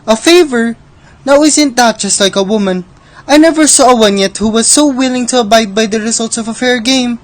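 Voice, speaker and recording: A woman's voice: on the high side, normal speed, natural voice. A woman playing Death as a sly, scornful gambler in a radio drama, with a theatrical, condescending delivery. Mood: scornful, firm.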